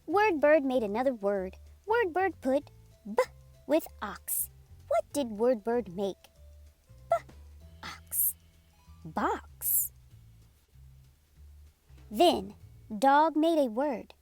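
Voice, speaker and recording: A woman narrating a children's read-aloud in a deliberate, sing-song way as storytime host. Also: high voice, big pitch swings, darkish tone, soft background music.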